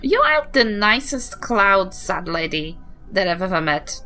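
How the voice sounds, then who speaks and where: Bright, animated New Zealand woman. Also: medium pitch, a little slow, faint music behind. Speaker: player in a tabletop game podcast. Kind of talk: casual in-character game chat.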